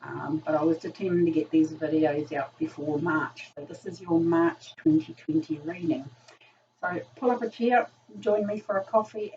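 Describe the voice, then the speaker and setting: A woman with a croaky voice from a virus, chatting casually through a tarot reading, full of ums. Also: lowish voice, mellow. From New Zealand. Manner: chatty, filler-heavy, frequent ums. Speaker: tarot reader. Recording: distant lo-fi room mic, faint noise.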